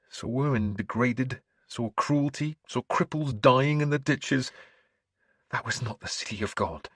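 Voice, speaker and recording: A man voicing a gentle, earnest scholarly bishop in an audiobook, with mild manners and a preacher's fervour. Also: mid-range voice, slightly rough, no echo, studio quality, voice pushed up. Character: gentle, earnest scholarly priest turned bishop, quietly sly.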